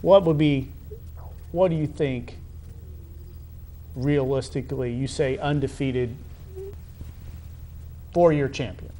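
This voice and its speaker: A middle-aged American man conducting a conversational sports interview, halting and wordy, often repeating phrases. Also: medium pitch, fairly even intonation, warm tone, a little hiss or hum. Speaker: interviewer, high school athletic director.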